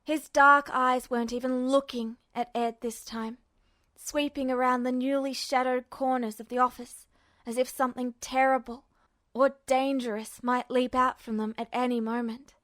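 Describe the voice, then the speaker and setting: Female narrator for dramatic fiction, high-pitched voice, intense and expressive delivery. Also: moderate pace. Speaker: podfic narrator.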